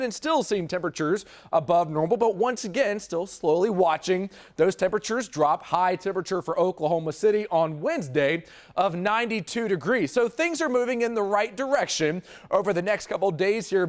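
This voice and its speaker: An American man with a notably high voice delivers a TV weather forecast. He is conversational and emphatic, addressing the audience directly as he moves through the outlook. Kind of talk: broadcast weather forecast presentation.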